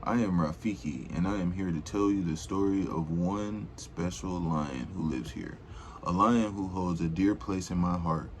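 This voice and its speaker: A man's voice: low-pitched, moderate pace, a little hiss or hum. A man reading a children's storybook aloud in an informal, rambling way, with casual slangy asides.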